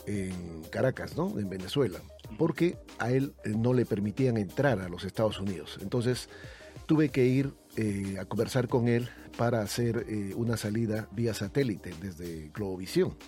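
A man's voice: fairly low pitch, a little mellow. A man interviewing a guest, asking questions and telling a personal anecdote in a conversational way. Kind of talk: interview on air.

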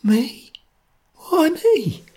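High, squeaky, terrified Cockney coward. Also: male voice, sing-song, a little slow, husky, heavily acted. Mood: desperate pleading. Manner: stammering, pleading, gasping. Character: terrified, whining cowardly young male would-be anarchist.

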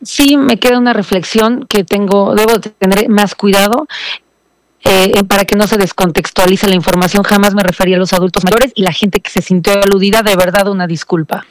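A woman's voice: mid-range voice, fairly even intonation, moderate pace. A woman, answering interview questions over a remote link, explaining and apologizing. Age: 40s. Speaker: lawmaker interviewed as guest. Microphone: remote call link.

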